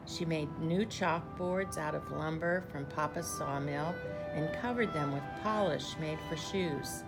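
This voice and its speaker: A woman reading a children's picture book aloud like a teacher, with measured, deliberate storytelling and clear phrasing. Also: deep voice.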